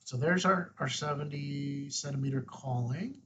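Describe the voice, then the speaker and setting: A man with a husky, rough-edged voice presenting a casual step-by-step tutorial, informal and thinking aloud as he goes. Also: medium pitch, moderate pace, fairly bright tone, lo-fi sound, a little hiss or hum. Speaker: host, ham radio tutorial presenter.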